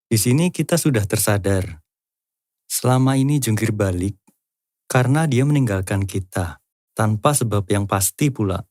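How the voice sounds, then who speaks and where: A man with a fairly low voice narrates an explainer. He follows a script but keeps it informal, slipping in lightly joking asides. The recording is dry and close, with very little room sound. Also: expressive, normal speed, long pauses, darkish tone, dry recording. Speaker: host of a lyric-analysis channel.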